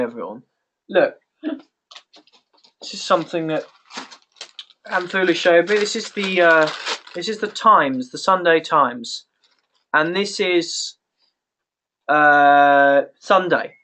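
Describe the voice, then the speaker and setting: A man hosting a casual conference call, talking in a halting way and repeating phrases as he goes. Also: fairly high pitch, pushed and bright, slight background noise. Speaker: call host.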